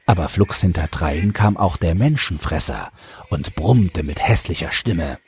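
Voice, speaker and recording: A man's voice: low voice, animated, measured pace, darkish tone. A man narrating a scripted fairy tale as an audiobook storyteller, close to the microphone. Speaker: narrator, podcast host.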